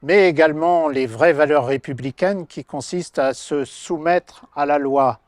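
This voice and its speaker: A man's voice: a little high, measured pace, no echo, clean studio sound. A man, a lifelong local resident, speaking firmly and earnestly in a campaign testimonial.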